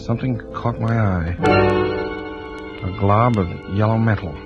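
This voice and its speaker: An actor playing a tense, suspicious fire inspector and family man who narrates his own story deliberately, in 1940s radio drama style. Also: lowish voice, lightly acted. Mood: brooding suspense. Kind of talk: 1940s radio acting, first-person suspense narration. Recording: muffled old radio recording, loud organ music.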